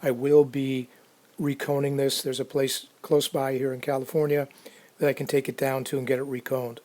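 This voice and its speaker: A man casually narrating a hands-on restoration video, unhurried and halting as he thinks aloud. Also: medium pitch, level tone, mellow. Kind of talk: casual narrated how-to commentary.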